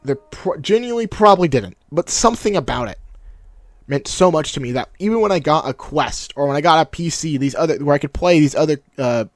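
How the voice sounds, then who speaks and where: A young man with a deep voice looking back on a game, talking casually and nostalgically with self-mocking asides. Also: lively intonation, fairly quick, dry recording, clean studio sound. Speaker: YouTube creator. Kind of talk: reflective personal vlog narration.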